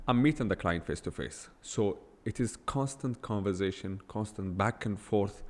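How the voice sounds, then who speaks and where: Non-native english guy, lowish voice, blunt ranty podcast monologue, lots of echo in the room. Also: a little mellow. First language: not English. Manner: blunt, emphatic storytelling with rhetorical questions. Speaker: podcast host. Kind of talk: unscripted solo podcast monologue.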